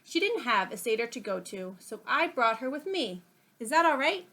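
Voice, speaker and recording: A polite, friendly boy-monster from a children's storybook, read by a woman. The voice is fairly high and lilting, with a courteous, questioning manner. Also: big pitch swings, moderate pace, bright, forceful tone, heavily acted. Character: polite, friendly male monster bringing a guest.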